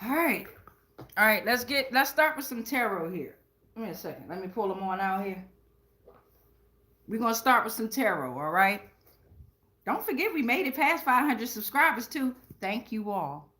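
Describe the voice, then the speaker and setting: A woman's voice: a little high, expressive, normal speed, pauses often, sharp tone. A woman hosting a casual tarot livestream, talking straight to viewers in an emphatic, slangy, profane way and often checking in with tag questions. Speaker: tarot reader and livestream host.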